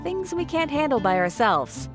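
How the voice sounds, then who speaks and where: Animated, high-voiced friendly townswoman. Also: moderate pace, music in the background. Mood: playfully wistful. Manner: chatty, gracious, playful. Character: friendly, teasing female shopkeeper in fantasy town. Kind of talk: video game voice acting.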